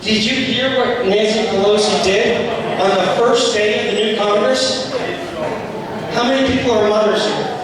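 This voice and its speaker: A hoarse man giving an impassioned speech at a political group meeting, rallying the room and asking it questions. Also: high-pitched, fairly even intonation, moderate pace, crowd noise. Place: meeting hall. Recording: echoey hall from far off, noisy.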